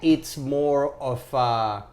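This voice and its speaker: A man chatting casually as a podcast panelist, halting and repeating his phrases for emphasis. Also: medium pitch, darkish tone, slight background noise. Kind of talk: casual chat.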